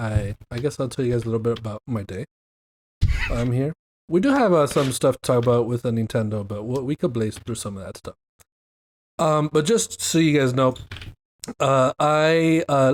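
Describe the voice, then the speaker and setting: Rambling Miami-accented man hosting. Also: medium pitch. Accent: Miami English. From Miami, USA. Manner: rambling and jokey, repeats "you know what I mean". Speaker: podcast host. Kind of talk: casual chat.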